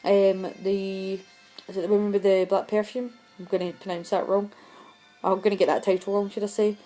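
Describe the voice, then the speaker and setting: A woman's voice: mid-range voice, flat delivery, moderate pace, mellow, soft background music. Chatty woman losing her voice. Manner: chatty, rambling, self-deprecating. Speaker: vlogger showing film purchases. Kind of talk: casual chat.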